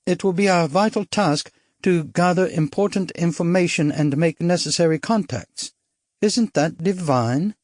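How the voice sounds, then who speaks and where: A man's voice: on the high side, unhurried, darkish tone, no echo, studio quality, voice pushed up, natural voice. A man voicing a witty, daring young upper-class Englishwoman who is an actress, with an arch, playful, theatrical manner.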